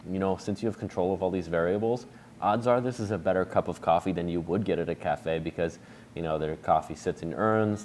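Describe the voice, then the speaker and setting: A man in his thirties walks through a coffee brewing recipe in a relaxed, chatty how-to style, explaining each step as he goes. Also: sits low, slight background noise. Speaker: coffee shop owner demonstrating a brew recipe. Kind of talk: casual how-to demonstration. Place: bright indoor room with a table.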